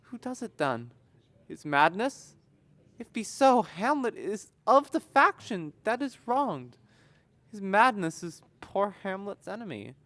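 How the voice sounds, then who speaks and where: A young woman acting a courtly, impassioned young prince in a Shakespearean audio drama, with measured, declamatory verse. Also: medium pitch, very animated, fairly bright tone, dry recording. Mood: earnest, contrite.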